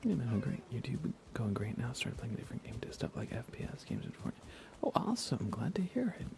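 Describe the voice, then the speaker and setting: A man chatting casually in a soft ASMR gaming-stream voice, often dropping toward a whisper. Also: very animated, fairly quick. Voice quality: creaky, low. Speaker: livestreaming gamer.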